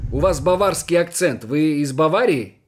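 An actor playing an earnest, dutiful Soviet senior lieutenant in a radio play, speaking in a clipped military way. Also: male voice, fairly high pitch, lively intonation, moderate pace, over a noisy crowd, natural voice. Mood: probing.

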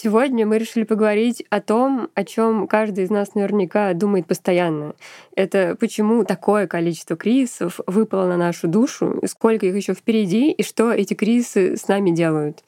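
A woman's voice: medium pitch, brisk, mellow, no echo. Nasal woman podcast host, close dry sound. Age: 30s. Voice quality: nasal, stuffy from a cold. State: congested with a lingering cold. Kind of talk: casual podcast chat.

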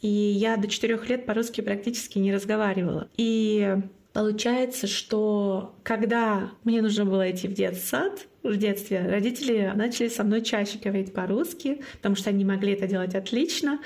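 Woman from northern russia, komi native, earnest interview guest, mid pitch, leans on repeats. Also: fairly even intonation, brisk, gravelly, a little mellow. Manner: earnest, repeats key phrases for emphasis. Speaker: guest, club founder. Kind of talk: interview, personal story.

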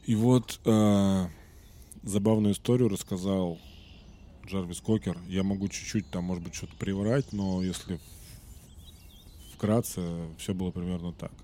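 A man hosting a podcast, telling a story in a casual, rambling way with many fillers, while slightly sick and clearing his throat now and then. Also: low voice, fairly even intonation, measured pace, mellow. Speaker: podcast host.

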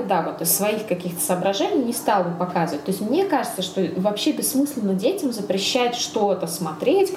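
A woman's voice: mid-range voice, a little mellow. A woman in a casual discussion, speaking reflectively and tentatively, often qualifying what she says. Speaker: podcast co-host. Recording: echoey room with clear background noise.

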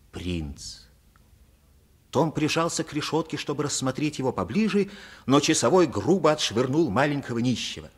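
Male narrator, slightly rough husky tone, highly varied intonation, dramatic storytelling, music behind, radio drama. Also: fairly bright tone. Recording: vintage 1950s radio play recording.

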